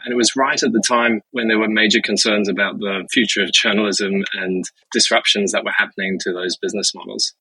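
A man from Zimbabwe, a business executive answering interview questions in a measured, explanatory way with frequent fillers. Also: lowish voice, steady tone, sharp tone, breathy edge, no room sound, rough recording.

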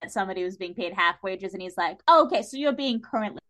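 A woman chatting casually on a podcast, retelling things in an animated way and acting out imagined lines. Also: mid-range voice, quick pace. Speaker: podcast panelist. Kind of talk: casual chat discussing a TV series.

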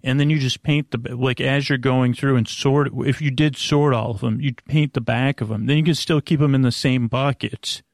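A man hosting a sleep podcast with a rambling, improvised monologue, meandering and halting as he drifts into tangents. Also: medium pitch, steady tone, dry recording, studio quality. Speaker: podcast host.

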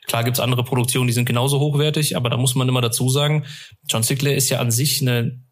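A man's voice: mid-range voice, steady tone, fast. A man hosting a fan podcast, chatting casually and colloquially in rambling sentences with frequent tag questions. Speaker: podcast host.

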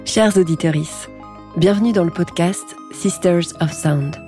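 A woman hosting a podcast, reading a scripted narration in a calm, measured way. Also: fairly low pitch, faint music behind.

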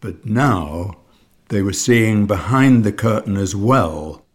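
Older male expert contributor, lower register, unhurried, considered delivery. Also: animated. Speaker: former surgeon, contributor. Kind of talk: considered commentary.

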